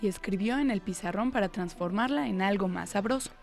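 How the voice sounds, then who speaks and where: A woman reading a story aloud in an expressive storytelling style. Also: medium pitch, fairly quick, gravelly, faint music behind.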